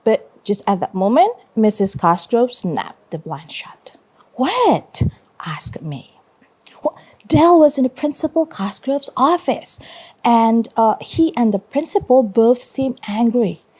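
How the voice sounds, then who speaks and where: Mellow Indonesian-accented woman reading aloud. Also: fairly high pitch, big pitch swings, slow, slightly rough. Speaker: primary school teacher. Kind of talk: read-aloud storytelling for children.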